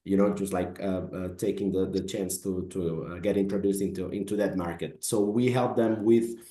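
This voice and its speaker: A man's voice: low-pitched, fairly even intonation, normal speed, warm tone, echoey room. A middle-aged man from Spain, a business advisor, introducing himself in an online meeting, often stammering and repeating words. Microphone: video call.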